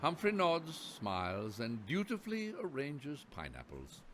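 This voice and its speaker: A man narrating a radio play in a wry, theatrical storyteller's manner with deliberate emphasis. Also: mid-range voice, huge pitch swings, fairly bright tone, a little hiss or hum.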